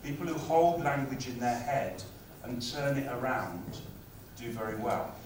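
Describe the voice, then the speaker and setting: A man addresses a conference audience in a relaxed, lively lecturing manner. His voice sits at an ordinary pitch and is picked up from some distance away. It rings through a very reverberant hall with a faint hiss of room noise. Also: unhurried. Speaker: conference speaker on language teaching. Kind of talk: conference talk. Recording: very echoey hall, far from mic.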